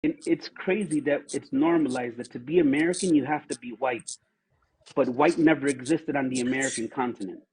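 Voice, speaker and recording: A man's voice: mid-range voice, a little fast, darkish tone, poor audio. A man in a heated livestream debate, insistent and sarcastic, repeating his points again and again. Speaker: livestream participant.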